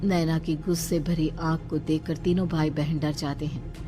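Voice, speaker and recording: A woman's voice: low-pitched, flat delivery, normal speed. A woman narrating a scripted story in a dramatic storytelling style. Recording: music behind the voice.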